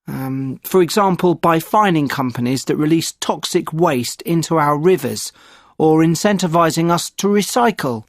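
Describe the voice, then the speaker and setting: A man reading sample speaking-test answers in a measured, opinionated way with thoughtful pauses. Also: a little high, lively intonation, no echo, clean studio sound. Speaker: sample exam candidate. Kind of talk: scripted exam answer reading.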